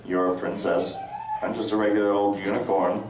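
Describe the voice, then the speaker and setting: A man's voice: lowish voice, level tone, normal speed, lightly acted. A man reading the part of an indignant, sardonic young female unicorn in a comedic live dramatic reading. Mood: excited, sardonic. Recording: echoey convention room, distant mic, crowd. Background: convention audience.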